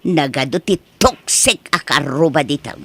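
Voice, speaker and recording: A woman's voice: low-pitched, expressive, normal speed, a bit bright, slightly rough, no room sound, full character voice. A woman with an Ilocano accent from the Philippines playing a scheming, outspoken middle-aged wife in a radio-style comedy drama, brash and argumentative. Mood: defiant.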